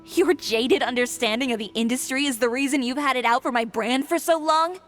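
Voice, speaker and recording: An actress playing a composed, sharp-tongued fashion designer in a video game, cool, cutting and self-assured. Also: female voice, high voice, a little fast, close to an everyday voice. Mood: firm.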